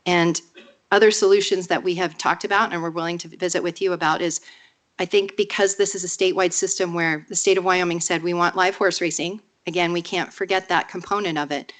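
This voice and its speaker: A woman with a cold and a nasal voice, speaking in a measured, persuasive way during public comment at a podium microphone in a council chamber. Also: on the low side, fairly even intonation.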